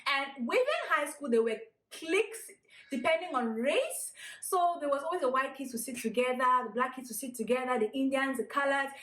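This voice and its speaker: A woman's voice: high-pitched, expressive, normal speed, a bit bright, roomy sound, low-quality recording. A woman from Cameroon talking earnestly and reflectively in a casual vlog, often hedging with honestly and like. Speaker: guest vlogger.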